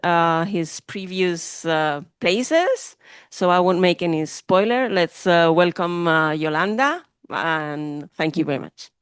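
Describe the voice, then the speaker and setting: A woman chairing a conference session, introducing speakers and taking questions, slow and hesitant with fillers. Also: lowish voice, fairly bright tone, clear, resonant tone, dry recording, clean studio sound.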